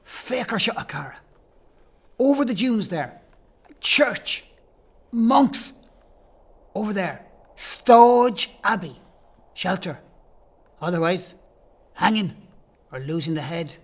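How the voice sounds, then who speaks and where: A wry Irish villager played by a man with a notably high voice. He is scornful but gruffly helpful, talking in blunt, clipped phrases as in an audio drama. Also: sing-song, slow, long pauses, exaggerated voice. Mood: urgent, gruff. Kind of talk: audio play acting.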